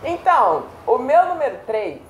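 A young woman co-hosting a casual video chat, animated and laughing often as she talks. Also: high-pitched, normal speed, a little hiss or hum. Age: young adult. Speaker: co-host. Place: indoor room against a plain wall. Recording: roomy echo.

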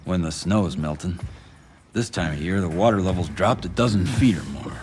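An actor playing a gritty, terse young gunslinger hero with a menacing, resolute manner in a Western video game. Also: male voice, sits low, moderate pace, darkish tone, soft background music, natural voice. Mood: cold, menacing.

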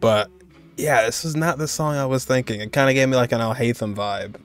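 A man's voice: mid-range voice, fairly quick, clean tone, faint music behind. A man in his twenties giving casual, off-the-cuff reaction commentary in a hesitant, noncommittal way. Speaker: reaction video host.

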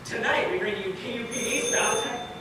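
A fussy, flattering radio show host acted by a young man speaks high and theatrically. It is an amateur stage play, picked up from far off with loud noise. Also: brisk, heavy reverb. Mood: grand. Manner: theatrical, projected, exclamatory. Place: large indoor theater stage, far camera.

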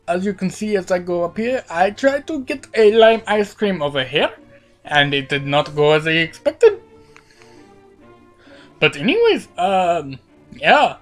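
A woman with a cold, her voice cracking, giving playful, rambling commentary over a video game with lots of fillers. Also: fairly low pitch. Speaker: gaming channel host.

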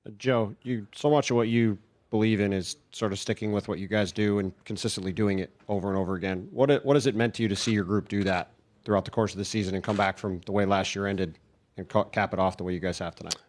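A man's voice: on the low side, fairly even intonation, quick pace. A man, a reporter, asking a long, rambling question with restarts at a press conference.

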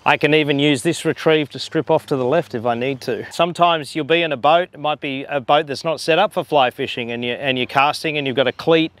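A man in his forties, a fly fishing guide, explaining line handling outdoors on a harbor dock with a lapel mic, relaxed and a bit hesitant. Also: a little high, brisk, few pauses, dry recording. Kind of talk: instructional demonstration.

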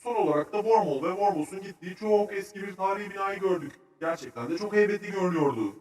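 A man's voice: sits high, fast, a little mellow, close to an everyday voice. A man voicing an eager, cheerful, studious sidekick in a children's educational cartoon, recapping with enthusiasm. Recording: echoey, slightly distant.

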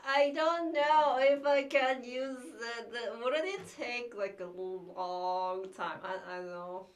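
A young woman whose first language is Korean, sick with the flu, chatting with livestream viewers in a small room, halting and restarting often with light laughs. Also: fairly high pitch. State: has the flu. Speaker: cellist streamer. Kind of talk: casual livestream chat reading viewer messages. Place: small practice room indoors.

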